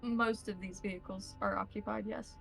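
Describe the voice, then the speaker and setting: A woman's voice: mid-range voice, steady tone, normal speed, creaky, faint music behind, low-quality recording. A woman running a tabletop roleplaying game as game master, narrating scenes and calling for rolls.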